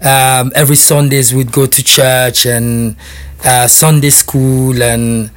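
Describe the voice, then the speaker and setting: A man in his forties talks as a radio studio guest. His voice sits at an ordinary middle pitch. He speaks haltingly, pausing often and filling the gaps. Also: flat delivery. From France and Republic of Congo. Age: 40s. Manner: hesitant, many pauses and fillers. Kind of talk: casual interview talk.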